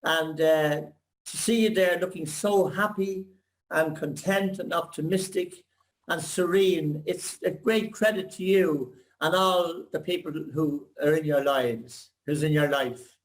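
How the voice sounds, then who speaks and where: An older man on a video call, rambling warmly before asking his question. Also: on the high side, measured pace, low-quality recording. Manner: rambling, complimentary, reminiscing. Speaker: audience member asking a question.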